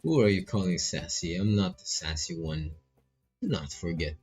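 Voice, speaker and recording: A man's voice: low voice, big pitch swings, normal speed, a little mellow, slightly rough, soft background music, exaggerated voice. A man voicing a sassy, indignant robot parrot in a visual novel. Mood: snappy. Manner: snappy, melodramatic.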